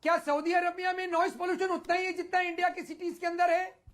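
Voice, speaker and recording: A man's voice: very high voice, level tone, fast, fairly bright tone. An Indian man arguing as a panelist in a heated TV debate, combative, repeating himself and talking over others.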